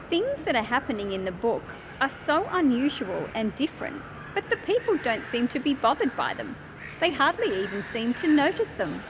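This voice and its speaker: Children's book presenter, female, 30s. Animated, higher-pitched, expressive explaining, recorded on a phone amid clear ambient noise. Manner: expressive, addressing children directly with emphatic phrasing. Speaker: host of a children's picture book channel. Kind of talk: explanatory presenting to young readers. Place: large industrial hall with old machinery.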